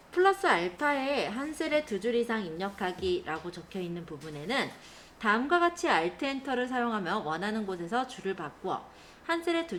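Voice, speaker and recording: A woman's voice: on the low side, a bit bright, a little hiss or hum. A woman instructor giving a step-by-step spreadsheet lesson, explaining and often checking in with short tag questions. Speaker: computer skills instructor.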